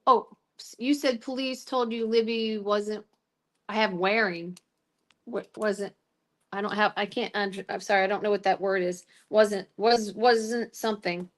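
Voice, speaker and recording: A woman's voice: medium pitch, fairly even intonation. A woman co-hosting a casual chat, reading from her own notes with frequent stops and restarts. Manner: halting, many restarts while reading notes. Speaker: co-host recapping trial notes.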